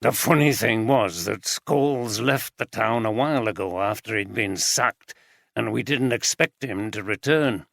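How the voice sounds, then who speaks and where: A man's voice: fairly low pitch, unhurried, creaky, fairly bright tone, no echo, clean studio sound, full character voice. A man voicing a scornful, plain-spoken brewery cooper. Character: scornful middle-aged male brewery cooper.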